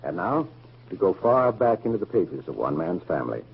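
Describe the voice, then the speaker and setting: Through a narrow, tinny vintage radio sound, an earnest family man. He speaks in formal, emphatic oratory with a husky tone and a highly varied melody, in 1940s radio style. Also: very animated, moderate pace, exaggerated voice. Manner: formal, emphatic, rhetorical speechmaking.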